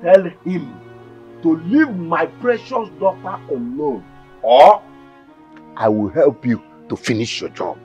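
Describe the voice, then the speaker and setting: A protective, menacing village father in a Nigerian drama. His Igbo-accented voice sits high and swings widely in pitch. He repeats himself as he threatens, over a music bed. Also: animated, takes it slowly, a little mellow, breathy edge, dull audio, exaggerated voice. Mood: angry complaint. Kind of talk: Nigerian film drama acting, switching between English and Igbo.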